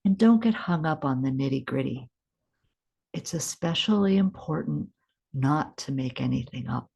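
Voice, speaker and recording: A woman guiding a meditation in a gentle, unhurried way, with deliberate pauses and a soft, breathy edge. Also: low-pitched, lively intonation. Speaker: meditation guide. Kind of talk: guided meditation, scripted instruction.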